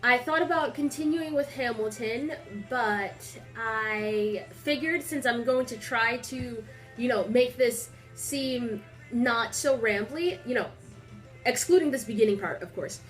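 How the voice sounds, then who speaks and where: A woman's voice: on the high side, a little slow, faint music behind. Young woman vlogging with a stuffy nose. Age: young adult. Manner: rambling, filler-heavy, animated storytelling. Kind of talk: casual chatty vlog. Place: small indoor room.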